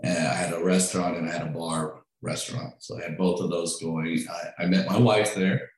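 A man from Peru, a chef who runs a food truck, answering interview questions in a relaxed, chatty way, often restarting his sentences. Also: sits low, fairly quick. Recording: very echoey room.

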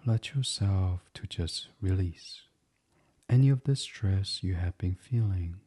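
A man guiding a bedtime meditation as a podcast host, speaking softly and soothingly in an unhurried, hushed, breathy way. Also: low voice. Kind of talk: guided meditation, gentle bedtime reading.

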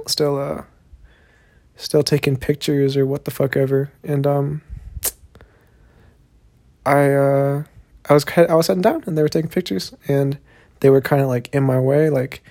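A young Texan man with a Southern accent talks on his own podcast at an average pitch. He rambles in a loose, laid-back way, swearing casually and filling pauses with ums and likes. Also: fairly even intonation, darkish tone. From Texas, USA. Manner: rambling, laid-back, profane, heavy on fillers. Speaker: podcast host. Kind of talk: solo podcast monologue.

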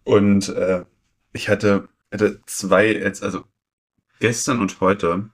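A man in his twenties chatting casually as a podcast co-host, telling stories in a halting, rambling way. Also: fairly low pitch, flat delivery. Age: 20s. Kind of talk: casual chat.

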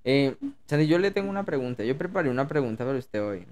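Colombian male podcast co-host, mid-pitched voice, informal, colloquial, given to teasing. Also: very animated, quick pace, a little mellow. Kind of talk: casual chat.

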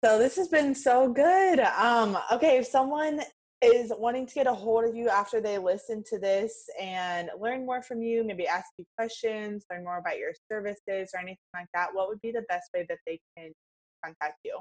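A woman's voice: on the high side, moderate pace, darkish tone. A young woman hosting a podcast interview, responding with encouraging comments and asking questions. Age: young adult. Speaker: podcast host. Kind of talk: casual interview chat.

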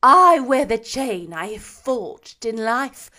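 A woman voicing a mournful male ghost bound in chains, booming and drawn out in a storybook reading. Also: very animated, heavily acted. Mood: wailing, mournful. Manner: loud, drawn-out, lamenting delivery.

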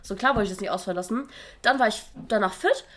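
A woman's voice: mid-range voice, fast, a bit bright. Slangy young woman with a cold. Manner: slangy, laughs often, chatty. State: has a cold. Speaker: podcast co-host. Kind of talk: casual chat.

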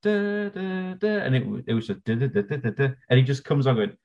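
A man's voice: medium pitch, very animated, brisk, a little mellow. Easygoing man on a dull mic. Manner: easygoing, tells anecdotes. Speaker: podcast co-host. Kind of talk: casual chat about musicals.

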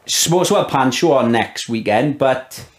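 A man's voice: medium pitch, lively intonation, moderate pace. A man hosting a podcast, tipsy and laid-back, laughing and swearing in casual banter. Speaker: podcast host. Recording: roomy echo.

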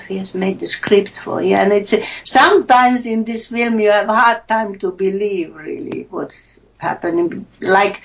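A woman from Finland with a Finnish accent, chatting casually about a film, searching for words and pausing often. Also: mid-range voice. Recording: dull lo-fi recording.

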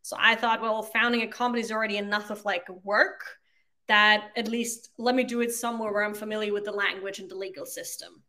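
A woman in her thirties from Austria, a native German speaker, talking through her career in a casual interview with relaxed, reflective speech. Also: medium pitch, brisk. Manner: relaxed, reflective, uses "like" and "you know" often. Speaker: podcast guest, agency founder.

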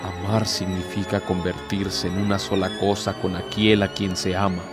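An actor playing a proud, tormented, bitter devil in a radio drama, speaking in a solemn, theatrical, declamatory way. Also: male voice, low voice, flat delivery, normal speed, over loud music, lightly acted.

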